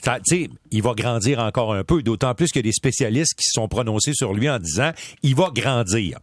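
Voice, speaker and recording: A man's voice: medium pitch, animated, brisk, no room sound, clean studio sound. A man with a Quebec French accent reporting on hockey prospects in a casual, storytelling way. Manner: chatty, storytelling, many fillers and restarts. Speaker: sports reporter. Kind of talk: radio sports talk.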